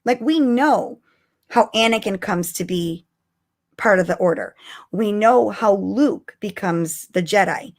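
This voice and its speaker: A woman's voice: medium pitch, unhurried. A woman co-hosting a podcast in casual fan discussion, thinking aloud in a conversational, emphatic way. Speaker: podcast co-host.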